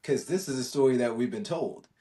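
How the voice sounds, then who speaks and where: A man from Jamaica talks in a casual podcast chat. His delivery swings up and down in pitch, full of slang and repeated phrases. He sounds a little way back from the microphone. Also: expressive, a little fast, dark tone, recorded from a distance. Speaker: podcast speaker.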